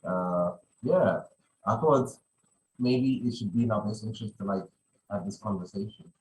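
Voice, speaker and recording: A man's voice: on the low side, moderate pace, darkish tone, a little hiss or hum, rough recording. A man hosting a podcast in casual conversation, rambling and often repeating his phrases. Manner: rambling, repeats phrases, many "you know". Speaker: podcast host.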